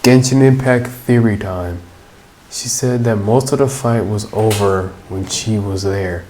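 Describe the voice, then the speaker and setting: A woman's voice: very deep voice, measured pace, dark tone, a little hiss or hum, poor audio. A woman giving casual, slangy, jokey commentary while playing through a game. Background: game music.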